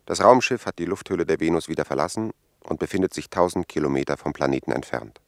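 An actor playing a dry, factual announcer of mission recordings in a 1950s German radio play. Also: male voice, a bass voice, fast talker, gravelly, no room sound.

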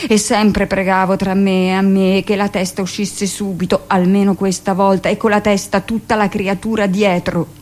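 A woman acting as a hardened midwife in middle age, telling her story in a theatrical radio monologue. She is insistent and bitter, forever justifying herself, with a voice of ordinary female pitch. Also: little pitch movement, normal speed, few pauses, darkish tone, close to an everyday voice. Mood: anxious confession.

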